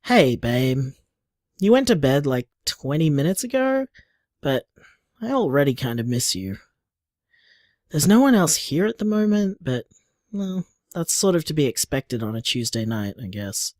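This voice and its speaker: A man's voice: a little high, very animated, a little slow, a little mellow, no room sound, studio quality. A man playing an affectionate, playful young bar owner, chatting casually as if leaving voicemails in an audio drama. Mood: tender, reflective.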